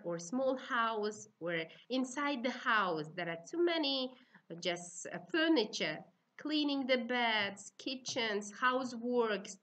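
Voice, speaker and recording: A middle-aged woman with an Uzbek accent, lecturing to trainee teachers in simple, halting, instructive phrases. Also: fairly high pitch, pushed and bright. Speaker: university teaching-methods lecturer.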